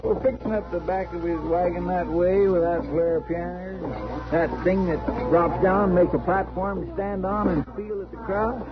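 A simple folksy western sidekick, rural american drawl, kinda high, old radio, dull sound, noisy crowd. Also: male voice, steady tone, measured pace, music in the background. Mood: admiring, eager. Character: naive, chatty frontier sidekick, easily impressed. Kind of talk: 1950s radio western acting.

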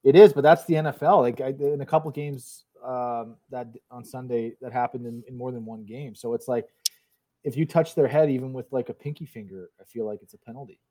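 A man from Canada in a casual sports chat, measured and hedging, stammering as he starts. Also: mid-range voice, fairly even intonation, mellow, rough recording. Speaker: podcast co-host.

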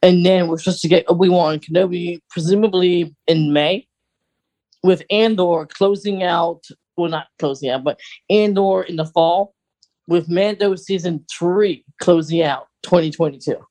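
A podcast co-host talks in a casual, chatty way with a medium-pitched voice. The delivery is hesitant, as if thinking out loud while speculating as a fan. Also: fairly even intonation, normal speed.

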